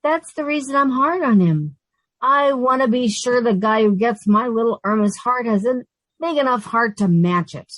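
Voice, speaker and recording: A woman's voice: a little high, normal speed, no room sound, lightly acted, rough recording. An actress playing a wisecracking, exasperated but fond working woman in an old-time radio sitcom style, with wry delivery. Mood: fond, soothing. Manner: wry, sardonic quips.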